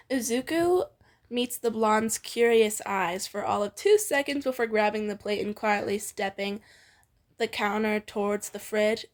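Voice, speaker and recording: A woman's voice: mid-range voice, measured pace. A woman co-narrating fan fiction in an informal, playful storytelling read. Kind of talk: expressive fan fiction reading.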